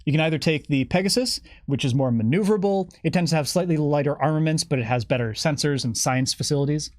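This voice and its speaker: A man's voice: fairly high pitch, quick pace, a little mellow, no room sound, studio quality. A man chatting unscripted as a guest explaining game lore, with a wry, playful manner.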